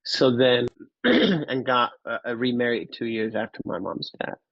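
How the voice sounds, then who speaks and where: Halting man, dull close recording. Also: mid-range voice, animated, vocal fry, no room sound. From Finland. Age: 30s. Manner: halting, repeats words and pauses often; candid, casually profane. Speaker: podcast guest. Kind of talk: reflective personal storytelling in an interview.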